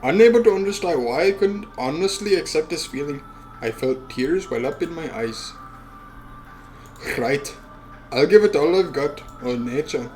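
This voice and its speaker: A man's voice: fairly high pitch, animated, normal speed, a little mellow, faint music behind, low-quality recording. A man who hosts a let's play reads a visual novel aloud and adds casual asides with swearing.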